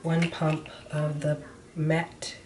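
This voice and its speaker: Young female beauty vlogger, low voice, a bit nasal from a cold, casual and frank. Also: monotone, very slow, background noise. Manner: casual, frank, talks while applying makeup. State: nasal after being sick. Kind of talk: chatty makeup tutorial talk.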